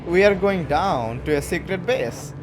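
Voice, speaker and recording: A man's voice: sits high, animated, unhurried. A man giving casual commentary as he plays a video game. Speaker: gaming streamer. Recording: game music behind the voice.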